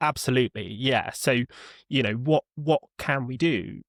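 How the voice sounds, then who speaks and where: A man talking as a podcast guest, explaining his work conversationally and haltingly, often repeating words and correcting himself. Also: medium pitch, gravelly, fairly bright tone, no echo, clean studio sound. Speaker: podcast guest, customer support leader.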